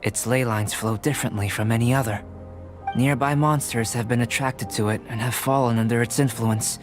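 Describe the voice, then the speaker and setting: A man playing a calm, analytical young alchemist in a video game, speaking in a measured, precise and formal way. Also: mid-range voice, close to an everyday voice. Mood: composed. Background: music.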